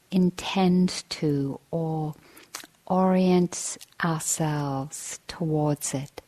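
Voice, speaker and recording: Female meditation teacher, low and fairly even in tone, gentle, measured delivery with generous pauses. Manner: gentle, measured, with long pauses between short phrases. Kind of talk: guided meditation dharma talk.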